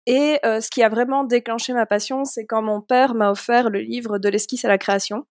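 A Belgian woman in her thirties, co-hosting a podcast and telling a personal story in a lively way, often laughing. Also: a little high, fairly quick, no echo, studio quality. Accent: Belgian French. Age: 30s. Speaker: podcast co-host. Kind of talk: casual podcast chat.

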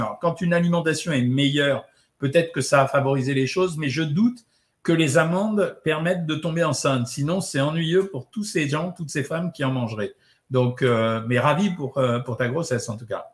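A middle-aged man, a doctor answering viewer questions in a casual, chatty way, with many fillers. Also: fairly high pitch. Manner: relaxed, chatty, lots of "euh" and "hein". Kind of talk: casual live Q&A, reading out comments.

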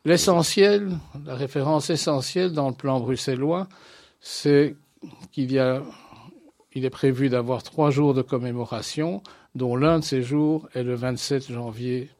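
A man answering interview questions as a guest, speaking in a formal, deliberate and articulate way. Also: mid-range voice, darkish tone, no echo. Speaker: interview guest, association president.

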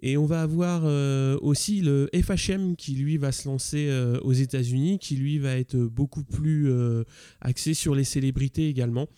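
A man with a mellow, soft-edged voice gives an informal explanatory segment. The sound is dry and close, his pitch is middling, and he proceeds step by step, a little hesitantly. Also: steady tone, moderate pace, clean tone, no echo. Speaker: podcast contributor.

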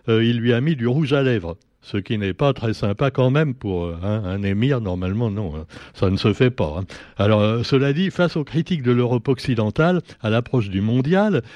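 Sarcastic radio press reviewer, dry sound. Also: male voice, mid-range voice, darkish tone, no room sound. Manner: sarcastic, ironic, with rambling asides. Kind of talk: joking press review commentary.

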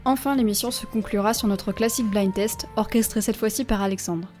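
A woman hosting a student radio show about video games, reading a prepared chronicle in a clear, measured way with a touch of drama. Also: medium pitch, darkish tone, faint music behind. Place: radio studio.

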